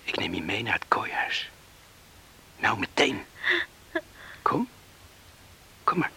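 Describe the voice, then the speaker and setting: An actor playing a tender, distraught young man in a Dutch radio drama, speaking softly and soothingly, often in a whisper. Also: lowish voice, sing-song, takes it slowly, creaky, slightly rough, heavily acted. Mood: tender, hushed. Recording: hissy old radio drama recording.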